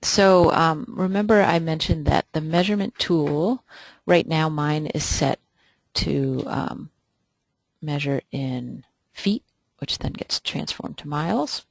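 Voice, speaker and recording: A webinar instructor answering viewer questions during a live software demo, hesitant and thinking aloud with many pauses. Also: mid-range voice, gravelly, no room sound.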